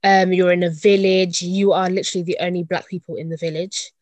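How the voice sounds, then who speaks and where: Young woman from uganda raised in england, chatty and reflective, lots of ums, lowish voice, dry close sound. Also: flat delivery, a little fast, a little mellow, no room sound. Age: 20s. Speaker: interview guest. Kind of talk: casual conversational interview.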